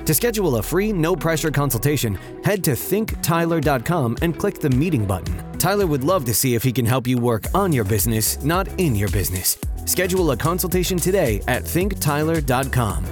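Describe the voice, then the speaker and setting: A man reads a scripted ad in a smooth promotional voice at a medium pitch. Faint background music plays under his voice-over. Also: big pitch swings, brisk, hardly any pauses. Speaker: ad announcer. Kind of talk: scripted ad read.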